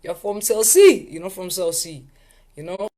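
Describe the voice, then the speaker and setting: A man's voice: high-pitched, expressive, normal speed, warm tone. Man, South African accent, live session host, casual, chatty, rambling, playful. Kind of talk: casual live chat with viewers.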